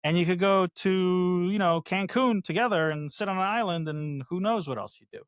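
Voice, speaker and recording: A man co-hosting a casual talk podcast, emphatic and quick to react as he reels off figures. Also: sits high, dry recording, studio quality. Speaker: podcast co-host. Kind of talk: casual chat.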